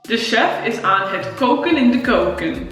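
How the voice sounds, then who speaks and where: A young woman vlogging, excitable and chatty, laughing often as she talks. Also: on the high side, moderate pace. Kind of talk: casual vlog chat. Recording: roomy echo with music behind.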